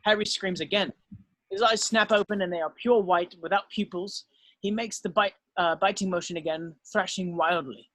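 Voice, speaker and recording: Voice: mid-range voice, steady tone, moderate pace, fairly bright tone, dry recording, poor audio. Dry-sounding narrator reading stage directions. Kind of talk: scripted narration, screenplay table read.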